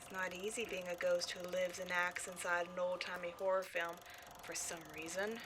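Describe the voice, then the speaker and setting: A woman's voice: fairly low pitch, level tone, moderate pace, background noise, close to an everyday voice. A woman playing a petty, theatrical ghost from an old horror film in a scripted comedic audio roleplay, mock-sinister and easily exasperated. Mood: bitter, low.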